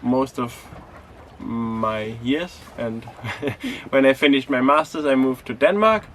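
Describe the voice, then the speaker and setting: Relaxed young man, hesitant vlog talk. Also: mid-range voice, slow pace, a little hiss or hum. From Greece. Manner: relaxed, hesitant with many fillers and restarts. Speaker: sailing vlog host. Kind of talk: casual vlog narration.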